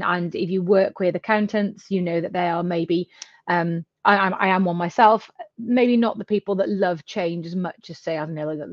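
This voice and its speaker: A woman's voice: fairly low pitch, no echo. A middle-aged woman from the UK speaking chattily as she explains things as an interview guest. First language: English. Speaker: interview guest, company founder and CEO. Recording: dull, slightly lo-fi audio.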